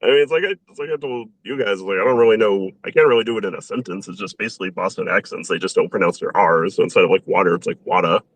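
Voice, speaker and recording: A young man chatting casually as an interview guest, bashful and quick to laugh at himself. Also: low-pitched, low-quality recording. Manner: laughs often, bashful and self-deprecating.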